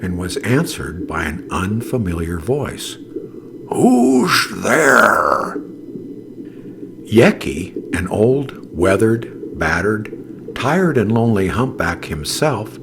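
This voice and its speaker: A man reading a scripted children's story as narrator, in a dramatic, deliberate way. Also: sits low, lively intonation, background noise.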